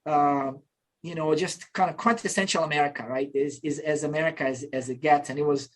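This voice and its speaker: A man in his fifties from Bosnia, telling his story as an interview guest in a relaxed, reflective way with frequent restarts. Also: on the high side, fairly quick. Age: 50s. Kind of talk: casual conversational storytelling.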